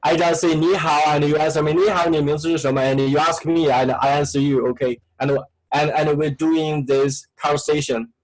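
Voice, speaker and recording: A man's voice: fairly high pitch, steady tone, brisk, hardly any pauses, darkish tone, poor audio. A man with a Chinese accent, a native Chinese speaker teaching an online class and coaxing students with repetitive prompts in broken English.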